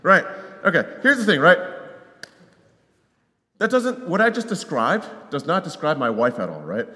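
A man's voice: a little high, very animated, moderate pace, sharp tone. A man in his thirties with an American accent gives an informal, conversational sermon as a missionary, often checking in with short tag questions, in a hall with long reverb. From Connecticut, United States. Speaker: missionary preacher, guest speaker. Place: large hall, speaking to an audience.

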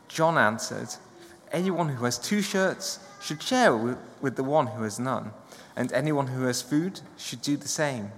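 A man's voice: medium pitch, gravelly. Man reading scripture in a reverberant church. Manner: steady, voices quoted speakers with lifts. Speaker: Bible reader. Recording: long church reverb, faint background noise.

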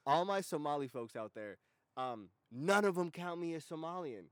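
A man's voice: a little high, lively intonation, moderate pace, fairly bright tone, smooth, no room sound, studio quality. An American man hosting a casual comedy podcast, chatting in a slangy, profane, irreverent way. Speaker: podcast host.

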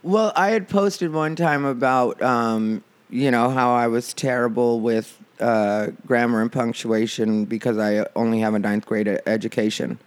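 A man with a nasal voice hosting a casual podcast, chatty and quick to laugh, acting out what others said. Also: mid-range voice, clean tone. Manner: chatty, laughs often, animated retelling. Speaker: podcast host. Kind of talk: casual chat.

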